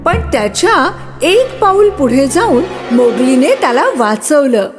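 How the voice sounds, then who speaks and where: Woman reading a kids fairy tale, slow and super expressive, high voice, music behind. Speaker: storyteller, narrator. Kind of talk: expressive scripted children's story narration.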